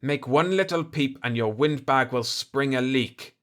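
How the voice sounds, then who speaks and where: A man's voice: medium pitch, unhurried, fairly bright tone, pitched above the performer's own voice, lightly acted. A man voicing a belligerent, hot-headed leader in an audiobook story, blunt and blustering. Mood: threatening.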